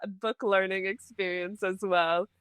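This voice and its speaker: A woman's voice: medium pitch, fairly even intonation, unhurried, a bit bright, clean tone, no echo, studio quality. A young woman from the Bahamas, explaining things conversationally as a podcast interview guest. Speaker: guest, history PhD student and content creator.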